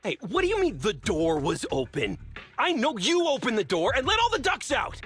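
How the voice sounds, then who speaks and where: A man playing an indignant, accusing delivery truck driver in a video game, with a husky voice. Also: very high voice, huge pitch swings, normal speed, over music, exaggerated voice. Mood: angry, accusing. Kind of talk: video game character acting.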